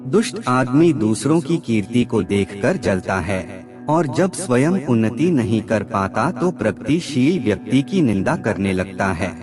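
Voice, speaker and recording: A man reading scripted maxims as a narrator, in a formal, measured and deliberate way. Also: mid-range voice, sing-song, music in the background.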